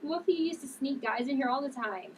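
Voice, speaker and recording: A woman's voice: high voice, animated, fast. A woman at a table read playing a casual, defiant vigilante sister. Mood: defensive. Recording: distant mic, noisy room.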